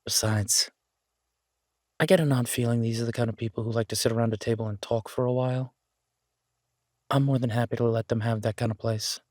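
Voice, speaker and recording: A man's voice: mid-range voice, fairly even intonation, brisk, long pauses, darkish tone, no echo, studio quality, lightly acted. A man playing an easygoing, affectionate chef in an audio roleplay, talking in a casual, folksy, reassuring way. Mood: relaxed, affectionate. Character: easygoing down-home male chef, affectionate restaurant owner.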